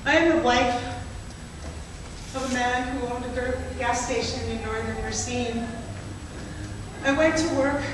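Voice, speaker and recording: A woman's voice: on the high side, steady tone, measured pace, pauses often, natural voice. Woman reading as a gas station owner's wife. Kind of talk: spoken-word poetry performance. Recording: echoey hall from far off, noisy.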